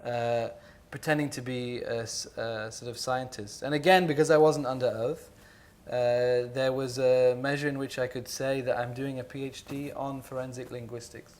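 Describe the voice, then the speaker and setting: A man's voice: mid-range voice, fairly even intonation, moderate pace, few pauses, darkish tone, a little hiss or hum. A man from Jordan talking through his thoughts at a public panel. He hesitates often, backtracks and restarts his sentences, and fills the gaps with "um" and "like". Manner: hesitant, many fillers and restarts. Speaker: artist speaker at a panel talk. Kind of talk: reflective panel conversation.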